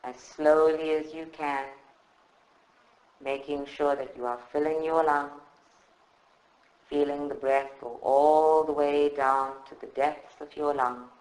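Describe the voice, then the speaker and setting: A man guides a meditation in a fairly high voice. He speaks very slowly and soothingly, leaving long pauses between short phrases. The live recording is roomy and clearly echoing. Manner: calm, soothing, measured instruction with long pauses. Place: indoor room at a group meditation session.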